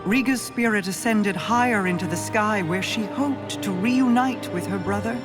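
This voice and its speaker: A woman voicing a calm, commanding alien queen in a video game cutscene, telling a solemn story in a measured way. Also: medium pitch, music in the background, lightly acted. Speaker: queen character. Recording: game audio with loud score behind.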